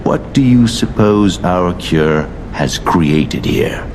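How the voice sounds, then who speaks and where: Super deep slow pompous alchemist villain, mock polite, condescending, rumbly noise behind him. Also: male voice, lively intonation. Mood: smug, courteous. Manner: pompous, mock-courteous, measured speechifying. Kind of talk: theatrical video game villain monologue.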